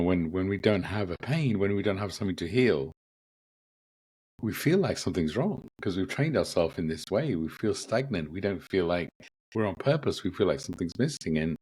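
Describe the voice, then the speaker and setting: A man hosting a spiritual podcast, gently reflective, his voice a bit croaky from a lingering cough. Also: sits low, no echo. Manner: gentle, reflective, with frequent ums and repeats. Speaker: podcast host. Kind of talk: casual spiritual podcast talk.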